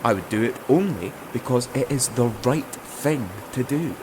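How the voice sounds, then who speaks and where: A man's voice: mid-range voice, expressive, normal speed, noisy background, close to an everyday voice. A man playing a bold, courtly would-be king in a fantasy audio drama, with gallant, flowery, formal speech. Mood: earnest.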